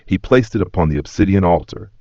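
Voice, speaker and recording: A man with a very deep voice delivers scripted dramatic storytelling. His delivery is solemn, measured and reverent. The sound is echoey and slightly dull, with the top end softened. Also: animated. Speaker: narrator.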